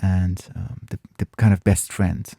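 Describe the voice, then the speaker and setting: Host, male, Farsi native, low and gravelly, mellow, reflective and halting, dry close sound. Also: level tone, dry recording. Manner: thoughtful, pausing often and restarting phrases. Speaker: channel host answering viewer questions. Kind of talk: casual reflective Q&A monologue.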